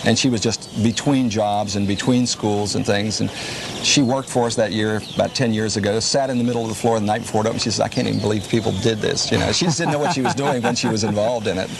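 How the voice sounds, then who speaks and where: A man's voice: fairly low pitch, fairly even intonation, fast. A middle-aged man chatting informally and anecdotally as a store owner being interviewed. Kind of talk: casual interview talk. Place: inside a shopping center store. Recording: clearly noisy location recording, busy ambience.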